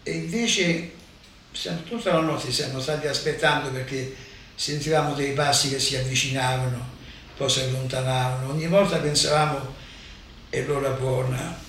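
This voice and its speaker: An older man giving a halting spoken recollection as a witness, repeating words as he goes. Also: medium pitch, steady tone, fairly bright tone. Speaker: war deportee giving testimony. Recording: echoey distant room recording with faint noise.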